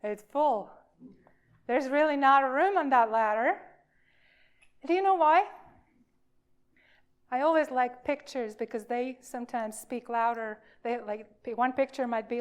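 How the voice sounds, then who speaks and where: A woman minister giving an informal sermon for all ages, speaking in a halting, emphatic way with deliberate pauses. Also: on the high side.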